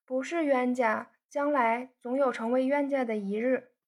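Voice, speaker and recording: A proud young woman who sulks and snaps back at her sweetheart, voiced by a woman reading the story. Her voice is high and husky-breathy, each line a pointed retort. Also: steady tone, a little slow. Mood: sulky. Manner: pouting, sharp retorts.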